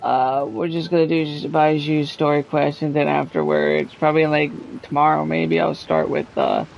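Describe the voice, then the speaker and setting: A man's voice: on the high side, animated, moderate pace, vocal fry, soft background music, low-quality recording. A man doing casual video game commentary as a streamer, rambling and offhand.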